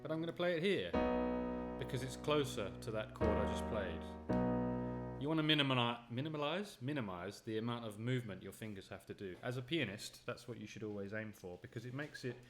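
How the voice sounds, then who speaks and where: Piano teacher, male, slight cold, talks through chords and counts beats, loud piano playing under his voice. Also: mid-range voice, expressive, brisk. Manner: explains chords step by step, counting beats aloud. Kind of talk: casual piano tutorial. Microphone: USB studio microphone.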